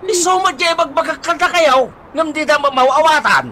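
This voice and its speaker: Man playing high-voiced, scolding village captain. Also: sharp tone, a little hiss or hum. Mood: angry, threatening. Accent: Ilocano. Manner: scolding, drawn-out emphatic cries. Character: exasperated, scolding village captain, loud and bossy. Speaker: voice actor in radio comedy drama. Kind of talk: comedy radio drama acting.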